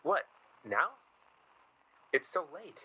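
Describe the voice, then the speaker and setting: An actor playing an earnest, exasperated young man in a naturalistic radio play, halting and defensive as he talks. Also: a little high, big pitch swings, slightly rough, lo-fi sound, heavily acted. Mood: awkward, subdued. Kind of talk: radio play dialogue, naturalistic period drama.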